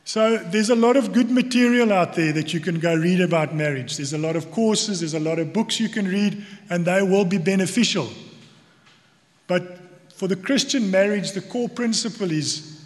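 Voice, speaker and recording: A man with a South African accent giving a sermon as a church elder, speaking earnestly in deliberate phrases with repetition for emphasis. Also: sits high. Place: church hall. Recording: long reverberant hall echo.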